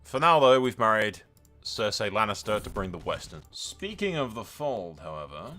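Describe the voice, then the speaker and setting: A man's voice: lowish voice, big pitch swings, moderate pace, bright, forceful tone. A man giving casual, informal commentary on a roleplay game, thinking aloud and slipping into storytelling. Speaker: gaming video host. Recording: music behind the voice.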